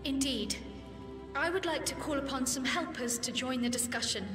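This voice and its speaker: A woman's voice: high-pitched, moderate pace, close to an everyday voice. An actress playing a polite, eager young female aide in a fantasy video game, speaking courteously and formally. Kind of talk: dramatic video game cutscene acting. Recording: echoey hall effect, music behind.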